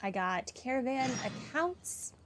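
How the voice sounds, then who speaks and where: A woman's voice: sits high, big pitch swings, a little slow, slight background noise. A woman gamer giving casual playthrough commentary, musing to herself as she goes. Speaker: video game streamer. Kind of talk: casual playthrough commentary, some reading aloud. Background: game music.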